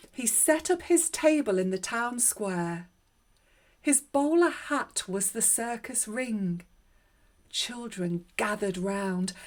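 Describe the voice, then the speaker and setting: A woman narrating a read-aloud story for children in a gentle, unhurried, expressive way. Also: mid-range voice. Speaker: children's story reader and host.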